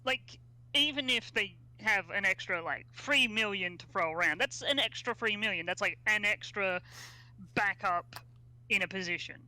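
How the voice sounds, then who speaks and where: A woman's voice: mid-range voice, normal speed, pushed and bright, low-quality recording. A thirty-year-old woman co-hosting a casual sports podcast, blunt and stammering into her points. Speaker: podcast co-host.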